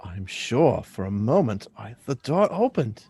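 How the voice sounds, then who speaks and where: A man's voice: medium pitch, sing-song, a little slow, mellow, husky, heavily acted. A man reading the part of an observant, insistent schoolboy in a radio play, with an earnest manner. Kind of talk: live radio drama script reading.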